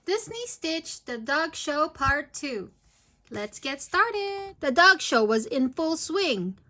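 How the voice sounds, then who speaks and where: Woman, children's read-aloud narration, slow pace, slightly high voice, expressive. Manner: expressive, addressing young children. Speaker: storyteller.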